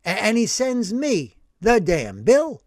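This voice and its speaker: A man voicing a brash, excitable older working-class man who tells his story in animated slang. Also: sits high, takes it slowly, no room sound, studio quality, voice pushed up, exaggerated voice. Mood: indignant.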